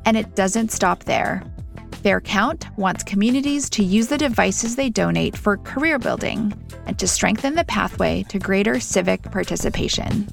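A woman hosts a documentary podcast, reading scripted narration in a medium-pitched voice with some creak at the edges. Music plays faintly behind her. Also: lively intonation, moderate pace.

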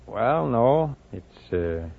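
A man's voice: mid-range voice, very animated, drawn-out words, creaky. An older man answering as a quiz contestant, folksy, plain-spoken and hesitant, rambling a little. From Texas, United States. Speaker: quiz contestant, house mover. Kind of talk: folksy unscripted interview answers. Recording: noisy, narrow old radio, audience behind.

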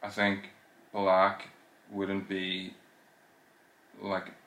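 A man's voice: low voice, monotone, very slow, pushed and bright, slight background noise. A man co-hosting a podcast, talking hesitantly with lots of fillers and tag questions. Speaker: podcast co-host. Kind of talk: casual chat. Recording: echoey room.

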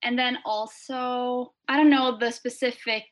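A woman's voice: a little high, steady tone, a little slow. A young woman from Norway, a guest speaking casually over a video call, hesitant with many fillers and restarts. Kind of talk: casual interview chat.

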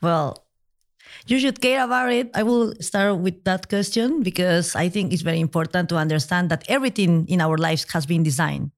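A woman's voice: lowish voice, normal speed. A woman from Peru with a Peruvian Spanish accent, explaining earnestly as a guest in a casual interview. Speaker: podcast guest, legal designer.

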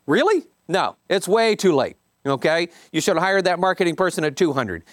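A man's voice: high voice, sing-song, normal speed, dry recording, studio quality. A man giving an informal coaching talk, reading bits from a book and commenting, often repeating points for emphasis and checking in with listeners. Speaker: business coach leading a group session.

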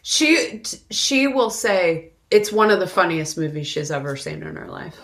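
Lower-voiced woman podcaster, casual and conversational. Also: very animated, normal speed. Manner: informal, conversational. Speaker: podcast co-host. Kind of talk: casual film discussion.

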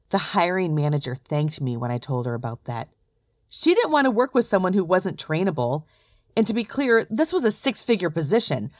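A woman's voice: fairly low pitch, no room sound. Woman doing a solo podcast, direct and chatty storytelling, voice goes up and down a lot, really dry sound. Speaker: podcast host, recruiter. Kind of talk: scripted solo podcast monologue.

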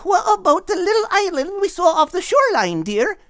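An excitable little storybook bird in a very high voice. The delivery swoops wildly, cheery and affectionate, full of folksy turns of phrase. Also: male voice, fairly quick, no echo, studio quality, full character voice. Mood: helpful. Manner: folksy dialect with terms of endearment. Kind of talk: audiobook character acting.

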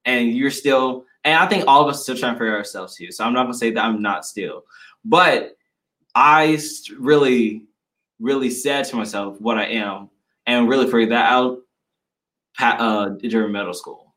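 A young man in casual conversation, speaking earnestly and reflectively with halting restarts. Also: mid-range voice, sharp tone, low-quality recording. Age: young adult. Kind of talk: casual chat.

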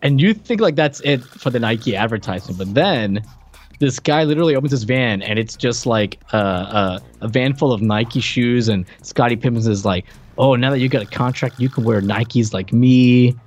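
A man co-hosting a podcast in casual, unscripted chat, with a halting, rambling delivery full of repeated words and stammers. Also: mid-range voice. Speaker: podcast co-host reviewing retro video games.

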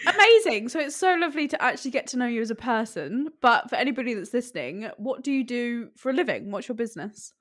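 A woman's voice: fairly high pitch, lively intonation, fast, hardly any pauses, fairly bright tone. A woman hosting a podcast, introducing her guest in an upbeat, encouraging way. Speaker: podcast host. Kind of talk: casual interview chat.

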